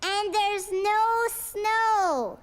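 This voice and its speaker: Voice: very high voice, drawn-out words, a bit bright, heavily acted. A performer voicing an eager but shy preschool boy in a children's cartoon. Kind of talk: scripted children's cartoon acting. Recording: faint music behind.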